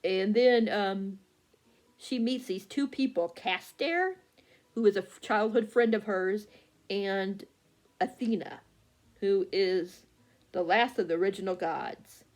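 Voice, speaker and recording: A woman book vlogger chatting casually and haltingly, often losing her thread and correcting herself. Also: mid-range voice, a little mellow. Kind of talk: casual rambling chat about books.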